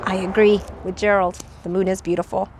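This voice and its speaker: A woman's voice: mid-range voice, level tone, fairly quick, a little mellow, slight background noise. A woman teacher reading a children's storybook aloud expressively, adding little asides to her listeners.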